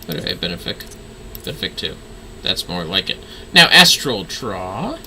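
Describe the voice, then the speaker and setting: A man's voice: deep voice, very animated, moderate pace, bright, forceful tone, a little hiss or hum, rough recording. A man livestreaming a game with casual, playful commentary, often talking to himself and muttering skill names.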